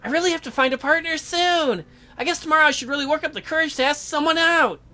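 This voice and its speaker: Woman putting on a teenage visual-novel girl: flustered, dramatic, high pitch, music underneath. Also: fast talker, bright, forceful tone. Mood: anxious, yearning. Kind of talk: visual novel voice-over put on by a let's-player.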